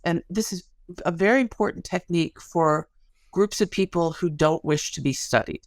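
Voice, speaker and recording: Middle-aged American woman with creaky voice. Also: fairly low pitch, moderate pace, no echo. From Chicago area, United States. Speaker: interview guest, sociologist. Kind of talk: conversational explanatory storytelling.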